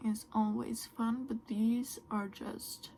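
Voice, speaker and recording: Slow, gravelly-voiced woman. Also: on the high side, little pitch movement, a little hiss or hum. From Norway. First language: Norwegian. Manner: soft, often trailing into a whisper. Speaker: beauty vlogger. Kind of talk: makeup tutorial chat.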